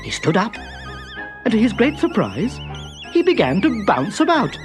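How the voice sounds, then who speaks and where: A man telling a children's story with a sing-song, lively voice that swoops up and down. Music plays clearly behind him throughout. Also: sits high, moderate pace. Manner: lively, expressive narration. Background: background music.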